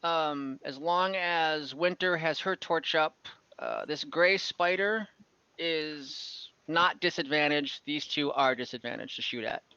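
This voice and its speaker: A man acting as game master in a casual online tabletop game, explaining rules in an easygoing, halting way. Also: fairly high pitch, sharp tone, clean tone, dry recording, highs cut off.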